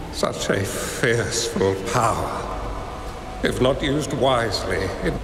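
An actor playing a wise old hermit master in a game cutscene, speaking in a measured, grave way. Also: male voice, mid-range voice. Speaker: mentor character. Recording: roomy reverb under game music.

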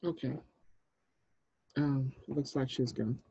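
A man's voice: medium pitch, fairly even intonation, slow, dark tone, slightly rough, dry recording, highs cut off. A man in his thirties speaking casually as he hosts a group video call over an earbud headset in a small room. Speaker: host, film director running a table read.

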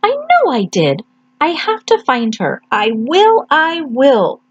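A woman voicing a small lost baby bird who earnestly searches for his mother, in a high childlike voice. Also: huge pitch swings, slow, lo-fi sound, exaggerated voice. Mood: pleading.

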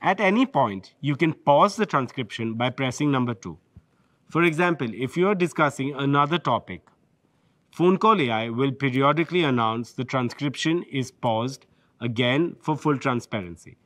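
A man's voice: medium pitch, animated, normal speed, fairly bright tone, no room sound. A man with an Indian English accent giving a polished, scripted product presentation from behind a lectern. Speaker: corporate presenter. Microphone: lectern microphone.

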